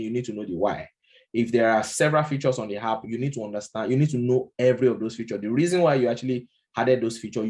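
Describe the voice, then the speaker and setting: A man's voice: medium pitch, darkish tone. A man with a Nigerian accent giving an unscripted lesson, talking conversationally with plenty of fillers and restarts. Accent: Nigerian English. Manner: conversational, many fillers and restarts, occasional chuckles. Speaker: product management instructor. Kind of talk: casual unscripted lecture.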